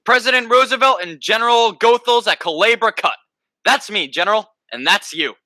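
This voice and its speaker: Bombastic crackpot president, acted by a young man, amateur radio play; sharp, ringing tone, very dry recording. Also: sits high, normal speed, no room sound. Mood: pompous. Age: young adult. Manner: bombastic, pompous. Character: blustering deluded man who thinks himself President Roosevelt.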